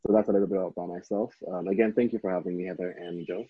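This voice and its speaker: A man from Ghana with a husky voice, speaking as a podcast guest in a measured, hesitant conversational way. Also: deep voice, fairly even intonation, slight background noise. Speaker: podcast guest, startup CTO. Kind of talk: conversational self-introduction. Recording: dull lo-fi, dry recording.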